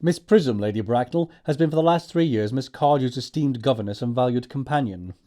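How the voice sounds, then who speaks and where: Man acting a brisk upper-class English gentleman. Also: mid-range voice, natural voice. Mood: resigned. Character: earnest, formal young English gentleman suitor. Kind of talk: stage comedy audiobook acting.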